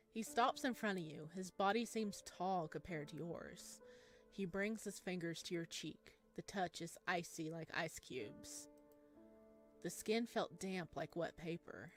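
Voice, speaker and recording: A woman game streamer with an unhurried delivery and a medium pitch. She reads story text aloud and reacts expressively and dotingly, with music playing behind her. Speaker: streamer playing a visual novel.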